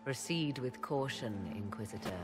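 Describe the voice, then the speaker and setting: An enigmatic sorceress from a fantasy game, haughty and theatrical. Her diction is archaic and measured, with sly pauses. Music plays clearly behind her. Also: very deep voice, lively intonation. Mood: grave, measured. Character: enigmatic, haughty sorceress, arcane advisor at court. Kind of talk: fantasy game cutscene acting.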